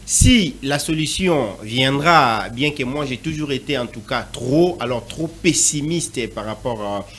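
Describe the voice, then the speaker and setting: A man's voice: medium pitch, animated, a little slow, hardly any pauses, fairly bright tone. A man from DR Congo speaking as a panelist in a televised debate, pushing rhetorical questions with growing intensity.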